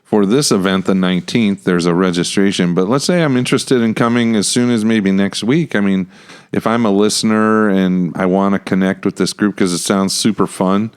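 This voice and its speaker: Guy hosting a podcast, smooth lowish voice, casual questions and chat. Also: moderate pace. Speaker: podcast host.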